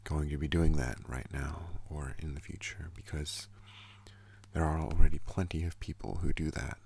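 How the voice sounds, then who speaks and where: A young man hosting an ASMR channel, speaking softly and calmly close to a studio microphone, slipping into whispers. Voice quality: deep gravelly soft voice. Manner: slow, calm, partly whispered. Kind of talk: soft-spoken ASMR talk.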